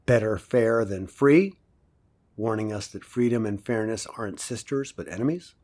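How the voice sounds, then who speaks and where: An actor playing a philosophical male professor lecturing a class in an audio drama, deliberate and rhetorical, with emphatic pauses. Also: fairly low pitch, darkish tone, lightly acted. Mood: didactic.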